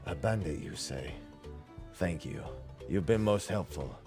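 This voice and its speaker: An earnest fantasy adventurer read aloud by a man speaks in a low voice with formal, archaic wording. The lines come from a video game, with faint music behind. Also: expressive, unhurried. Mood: grave, resolute. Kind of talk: reading game dialogue aloud.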